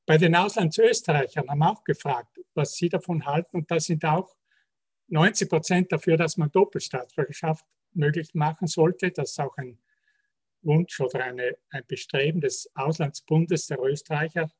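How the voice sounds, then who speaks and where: A man, a sociologist calmly explaining survey results in a panel talk. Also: a little high, husky. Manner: measured, explanatory, citing percentages. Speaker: sociologist presenting survey research.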